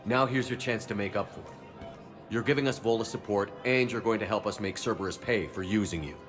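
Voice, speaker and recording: An actor playing a firm, persuasive military commander in a video game. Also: male voice, lowish voice, brisk, music in the background, close to an everyday voice.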